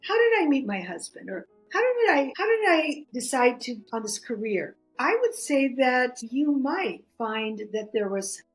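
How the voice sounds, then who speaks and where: A middle-aged woman whose first language is Greek, a podcast guest telling stories in a lively way, full of restarts and "you know". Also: high-pitched, unhurried, few pauses, faint music behind, rough recording. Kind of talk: conversational storytelling.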